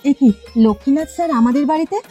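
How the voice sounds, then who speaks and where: A woman's voice: high-pitched, rapid-fire, faint music behind, lightly acted. A woman voicing a kind, cheerful village wife in a cartoon, who speaks in a reassuring way. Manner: warm-hearted, reassuring. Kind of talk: cartoon voice acting.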